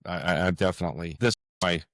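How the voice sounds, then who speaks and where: A man's voice: low-pitched, steady tone, quick pace, no room sound, clean studio sound. A man speaking reflectively as an interview guest, earnest and hesitant, often repeating words and phrases. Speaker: author, podcast guest.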